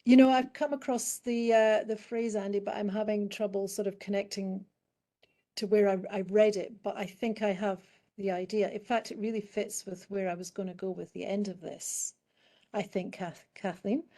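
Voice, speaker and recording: A middle-aged woman with a British accent, warm and mellow in tone, answers as a panel guest. She talks in a thoughtful, halting way, restarting phrases as she works out her point. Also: mid-range voice, fairly even intonation. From United Kingdom. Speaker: guest expert in public health.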